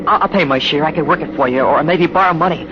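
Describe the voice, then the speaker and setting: An actor playing a scared sixteen-year-old runaway in a 1950s radio drama, earnest, hesitant and pleading. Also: male voice, on the high side, fast talker, natural voice. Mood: anxious, earnest. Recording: very noisy, dull old radio recording.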